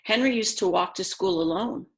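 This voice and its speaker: A woman's voice: medium pitch, moderate pace, slight background noise. A woman teacher reading a children's book aloud, speaking expressively and directly to young listeners with chatty, simple asides.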